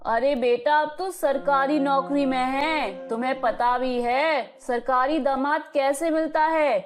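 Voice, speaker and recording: A woman's voice: high voice, fairly even intonation, slow pace, faint music behind. A woman voicing a pragmatic, doting middle-aged mother in a moral story, homely and persuasive. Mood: teasing, persuasive. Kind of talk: animated Hindi moral story dialogue.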